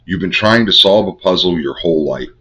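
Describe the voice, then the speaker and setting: An older man with a head cold, hosting a Bible study and teaching in measured, incantatory short phrases. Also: deep voice, lively intonation, sharp tone, husky. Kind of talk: spiritual teaching monologue. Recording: dull lo-fi recording.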